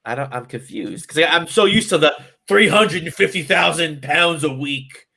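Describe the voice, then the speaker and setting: A man's voice: on the high side, moderate pace, vocal fry, bright, forceful tone. A man co-hosting a football podcast, rambling and thinking aloud in casual chat. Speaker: podcast co-host.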